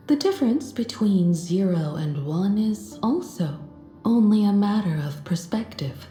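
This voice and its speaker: An actress playing a poised, diplomatic space traveler in a video game, speaking politely and deliberately with earnest self-assurance. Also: female voice, fairly low pitch, warm tone, reverberant. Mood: calm, assured. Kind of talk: scripted video game character dialogue.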